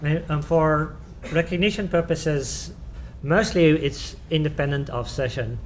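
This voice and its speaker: A man's voice: fairly high pitch, unhurried, slight background noise. A man with a South African accent answering audience questions at a tech talk, hesitant, with many "um"s and restarts. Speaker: conference presenter answering questions. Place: conference room.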